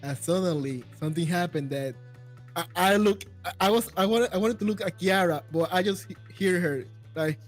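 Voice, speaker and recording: Higher-pitched male host over faint music. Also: normal speed. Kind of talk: casual interview chat.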